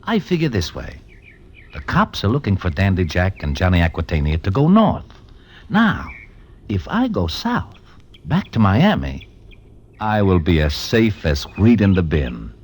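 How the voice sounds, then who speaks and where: An actor playing a cocky, stubborn small-time crook with insistent, stilted gangster slang in an old 1940s radio drama recording. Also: male voice, deep voice, wildly swooping pitch, measured pace, slightly rough, heavily acted. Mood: cocky, confident.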